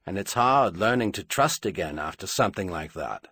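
A sympathetic royal husband performed by a male narrator talks gently in a storybook drama. The delivery is rueful and expressive, with a husky grain to the voice. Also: low-pitched, moderate pace, dry recording, heavily acted.

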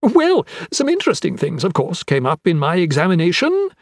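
A man's voice: high-pitched, wildly swooping pitch, fairly quick, no echo, clean studio sound, voice pushed up, heavily acted. A man voicing a cheerful, youthful, eccentric anatomist in an audiobook, effusive and chatty with a macabre relish. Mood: eager, chatty.

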